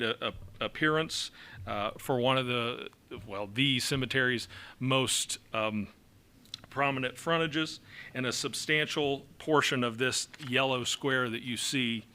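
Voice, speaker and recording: A man's voice: medium pitch, bright, forceful tone, smooth. A man presenting an ordinance at a city council meeting, explaining it with many fillers and an occasional chuckle. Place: council chamber.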